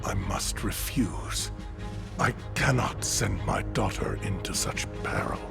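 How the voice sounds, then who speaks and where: An actor voicing a huge fish-like king, a grave protective father who speaks in slow, weighty, measured lines in a video game cutscene. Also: low-pitched, expressive, gravelly, over music, heavily acted. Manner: measured, weighty, hesitant pauses. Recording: loud game score underneath.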